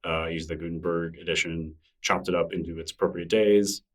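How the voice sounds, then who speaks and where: A man's voice: sits low, fairly even intonation, fairly quick, recorded from a distance. A man answering interview questions in a casual, enthusiastic way, laughing easily as he talks. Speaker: podcast guest, newsletter creator.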